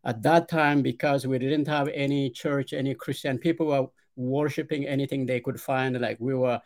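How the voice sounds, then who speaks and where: A middle-aged man with an Ethiopian accent, a podcast guest telling his life story in a hesitant way, with many "uh" pauses and repeated starts. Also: medium pitch, fairly even intonation. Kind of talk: conversational storytelling.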